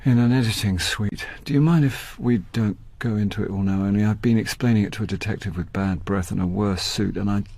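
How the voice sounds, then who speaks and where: A man's voice: on the low side, steady tone, a little fast, gravelly, hardly any pauses, darkish tone, no echo. A British actor playing a wry, weary middle-aged man in a radio drama, with a dry, self-deprecating manner. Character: wry, weary, hapless middle-aged male actor turned amateur sleuth. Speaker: narrator and lead actor. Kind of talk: radio drama acting and first-person narration. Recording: radio drama with background noise and ambience.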